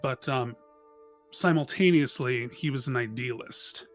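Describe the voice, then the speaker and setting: Male tabletop player, slow and searching as he introduces a character, soft music underneath. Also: mid-range voice. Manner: halting, searching for words.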